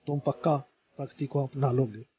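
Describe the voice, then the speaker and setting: An actor in an amateur radio play voicing an elder brother who speaks hopefully and trustingly. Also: male voice, mid-range voice, fairly even intonation, moderate pace, natural voice. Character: elder brother, hopeful and warm toward Dhairya. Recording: muffled recording with faint background noise.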